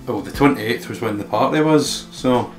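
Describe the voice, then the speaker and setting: A man's voice: mid-range voice, moderate pace. A man chatting casually as a game co-commentator. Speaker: let's play co-commentator. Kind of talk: casual chat. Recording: roomy sound, faint game music.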